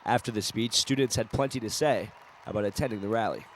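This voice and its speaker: A man's voice: lowish voice, fast, a faint crowd, slight background noise. A young man with an American accent reads scripted news in a formal newscaster delivery, as a reporter and anchor. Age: young adult.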